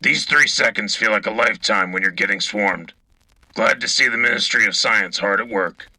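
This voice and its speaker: Man as wry soldier radio commentator, raspy, gravel-throated, blunt, sardonic, military broadcast drama. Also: fairly low pitch, moderate pace, bright, forceful tone, no echo, exaggerated voice, poor audio. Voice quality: gravelly, husky. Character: gruff, sardonic male soldier co-host of wartime radio. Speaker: radio co-host.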